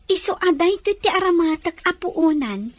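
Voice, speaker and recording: A woman's voice: very high voice, lively intonation, moderate pace, no room sound. A woman playing an ambitious, poor teenage Filipina with an Ilocano accent who dreams of a better life, in an audio drama. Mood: determined, worried. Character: ambitious poor young Filipina, sixteen, dreaming of marrying a foreigner.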